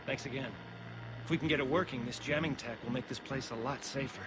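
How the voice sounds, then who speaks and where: Man as game security officer, weary, matter-of-fact, mid pitch, heavy background noise. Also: brisk, natural voice. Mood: surprised, grateful. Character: gruff weary security investigator on a space station. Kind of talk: video game voice acting. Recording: clear urban ambience noise behind.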